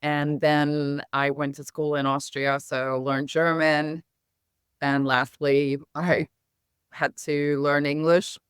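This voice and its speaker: A middle-aged woman with an American accent, native in Hungarian, speaks in a smooth, clear voice with a dry, close sound. She answers as an interview guest, measured and articulate, with formal wording. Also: low voice, level tone, no echo. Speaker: interview guest, marketing executive. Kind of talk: conversational interview storytelling.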